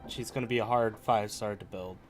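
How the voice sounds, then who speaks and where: A man's voice: on the low side, steady tone, fairly quick. A man chatting casually as he streams a game, rambling and hesitant in his delivery. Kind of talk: casual chat while playing. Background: faint game music.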